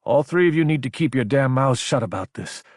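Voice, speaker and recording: A man plays a young prince turned officer in an audiobook, blunt and commanding. His voice is medium in pitch and slightly husky, recorded very dry and close. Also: animated, fairly quick, dry recording, close to an everyday voice. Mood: threatening.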